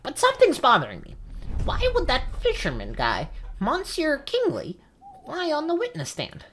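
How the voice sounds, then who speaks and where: A woman's voice: high voice, wildly swooping pitch, normal speed, fairly bright tone, slight background noise, pitched above the performer's own voice, full character voice. A woman voicing an eager, inquisitive lawyer's sidekick in a video game, with a wondering manner. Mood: curious.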